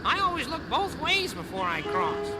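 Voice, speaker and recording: A man's voice: very high voice, sing-song, normal speed, low-quality recording. A man voicing an earnest, talkative little puppet fellow with old-fashioned phrasing on a children's record. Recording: vintage children's record with loud music.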